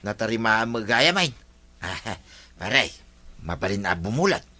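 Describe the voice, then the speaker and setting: A man's voice: on the low side, sing-song, measured pace, bright, forceful tone, heavily acted. A man with an Ilocano accent playing a careless, pleading neighbour who borrows things, in a colloquial radio drama.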